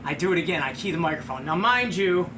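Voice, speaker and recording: Male American storyteller, middle-aged, high voice, chatty and excitable, noisy home-style recording. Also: quick pace. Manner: excitable, slangy, chuckling storyteller. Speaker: show host and storyteller. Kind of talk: animated casual anecdote, with acted-out sound effects.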